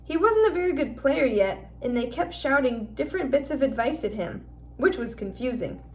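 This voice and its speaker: A woman's voice: sits high, lively intonation, moderate pace, a little mellow, distant mic, a little hiss or hum. A woman narrating a children's novel aloud as a teacher would in a storybook read-aloud.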